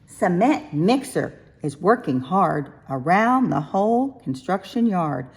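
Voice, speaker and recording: A woman with a lowish, slightly husky voice reads a rhyming children's story aloud. Her delivery is sing-song and expressive, with lively swoops in pitch. She phrases each line deliberately, as if for young listeners. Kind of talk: rhyming picture-book read-aloud, expressive.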